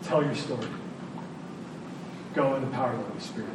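A man's voice: medium pitch, a little fast. A man preaching a conversational sermon, earnest and informal, leaning on repeated phrases for emphasis. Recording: very echoey room, distant mic, clear noise.

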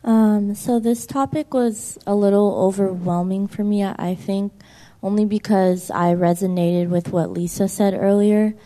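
A woman's voice: mid-range voice, fairly even intonation, measured pace, dark tone. A young woman sharing in a church group, speaking hesitantly with many "like" fillers. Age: young adult. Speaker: church member.

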